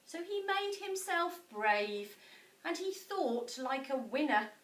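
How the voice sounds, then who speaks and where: A woman reading a picture book to children in an expressive, dramatic style with deliberate pauses. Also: high-pitched, a little hiss or hum. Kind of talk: expressive storybook narration for children. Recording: echoey room, distant mic.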